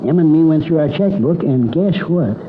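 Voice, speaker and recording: Male actor as American small shopkeeper, talkative and plain-spoken, 1950s radio drama. Slightly high voice, thin phone-like vintage recording. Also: animated, normal speed, lightly acted. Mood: eager. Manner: folksy, talkative. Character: eager, plain-spoken male small grocery store owner.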